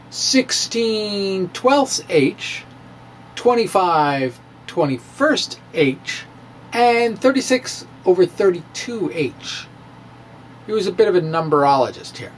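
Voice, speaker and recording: A middle-aged man delivers a science script like a dramatic reading. He takes his time, leaning hard on words and swinging his pitch widely, with asides thrown in. Faint noise sits behind his voice. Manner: theatrical, emphatic, with drawn-out words and asides. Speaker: science video blogger. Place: small room with bookshelves, indoors. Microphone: microphone on a stand, close in front.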